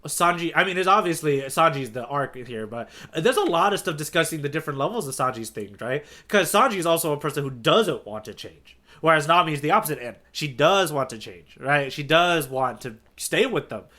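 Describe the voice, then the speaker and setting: A man's voice: on the high side, lively intonation, brisk, hardly any pauses, sharp tone. A man co-hosting a podcast in casual chat, talking analytically and checking in with "right?". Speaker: podcast co-host who has read ahead, the guide.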